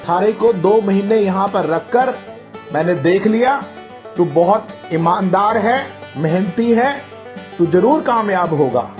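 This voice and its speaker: Man acting a gruff Haryanvi uncle. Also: high-pitched, expressive, measured pace, a little mellow, soft background music. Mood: earnest, fatherly. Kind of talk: Hindi cartoon voice acting.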